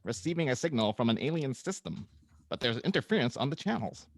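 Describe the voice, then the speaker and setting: Animated man hosting, dry close sound. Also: mid-range voice, brisk, no echo. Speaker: host and script narrator. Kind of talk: casual introduction, then reading screenplay directions aloud.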